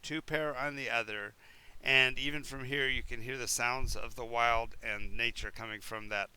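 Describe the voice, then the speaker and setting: A man's voice: medium pitch, steady tone, moderate pace, pushed and bright, clean tone, low-quality recording. A man running a tabletop role-playing game, describing rooms at length with many fillers and restarts. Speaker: game master.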